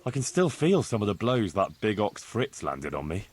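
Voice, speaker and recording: An actor playing a good-natured young medieval blacksmith's son in love, earnest and playfully gallant, in video game dialogue. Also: male voice, fairly low pitch, sing-song, moderate pace. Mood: fondly nostalgic. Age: young adult.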